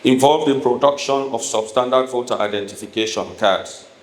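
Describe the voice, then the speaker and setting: A man with a Sierra Leonean accent delivers a formal prepared political speech as a politician, speaking emphatically and deliberately in measured phrases. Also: mid-range voice. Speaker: politician addressing a town hall. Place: echoey hall at a town hall event. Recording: echoey room with noticeable background noise.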